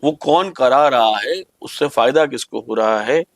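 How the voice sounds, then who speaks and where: A man's voice: medium pitch, measured pace, dry recording, studio quality. A man with an Indian accent hosting an opinionated podcast discussion, speaking emphatically with rhetorical questions and slipping into English. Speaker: podcast host.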